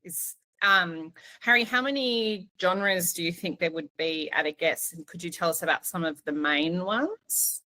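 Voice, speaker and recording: A woman leads a conversational panel interview. Her voice is bright and forward, recorded close and dry with no room around it. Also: lowish voice, a little slow, no room sound.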